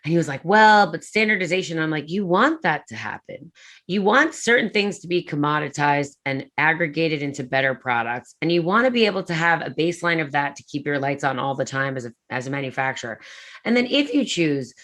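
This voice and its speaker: A woman talking about her industry in a conversational panel, animated and quick, full of "like" and "you know". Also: sits low. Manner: fast, animated, filler-heavy. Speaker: prefab industry executive. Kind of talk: conference talk conversation.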